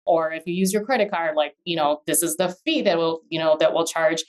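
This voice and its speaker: A woman's voice: deep voice, fairly quick. A woman in her forties, born in Vietnam and raised in the US, talking as an attorney guest in a casual interview, thoughtful and full of fillers and restarts. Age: 40s. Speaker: guest, real estate attorney.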